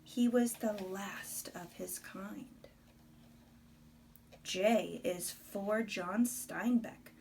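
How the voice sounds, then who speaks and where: A woman reading a rhyming picture book aloud to a small child in an expressive, deliberate storybook manner, with chatty asides. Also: medium pitch, creaky, slight background noise.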